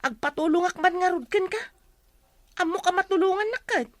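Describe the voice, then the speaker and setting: A woman acting a gossipy Ilocano village woman in a comedy drama. Her voice sits very high and is recorded close and dry. She talks in a chatty, teasing way. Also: a little fast, dry recording. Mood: coaxing.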